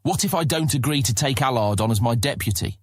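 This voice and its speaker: Defiant young guildmaster. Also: male voice, mid-range voice, animated, quick pace, slightly rough, no room sound, clean studio sound. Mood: objecting. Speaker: voice actor. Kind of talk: audio drama acting.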